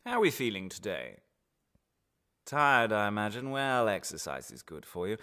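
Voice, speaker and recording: An actor playing a falsely friendly, menacing interrogator in a radio drama, chatty and polite but insinuating and condescending. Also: male voice, lowish voice, huge pitch swings, normal speed, pushed and bright, heavily acted. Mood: falsely jovial.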